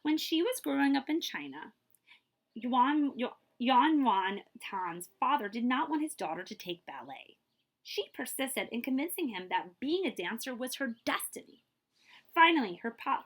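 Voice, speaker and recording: Female read-aloud storyteller, highly animated pitch swings, emphatic delivery for a children's picture book. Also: husky. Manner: expressive, emphatic storytelling with brief asides. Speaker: librarian reading a book aloud.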